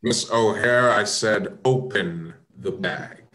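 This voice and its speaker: A man with an American accent playing a firm, knowing police detective in a radio play, commanding and deliberate. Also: on the low side, bright, forceful tone. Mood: stern.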